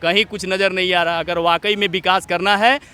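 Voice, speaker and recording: A man's voice: high voice, level tone, fairly quick, pushed and bright, smooth. A young man giving earnest, repetitive, opinionated answers in speech-like phrasing as a student leader in a street interview. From Jharkhand, India. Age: young adult.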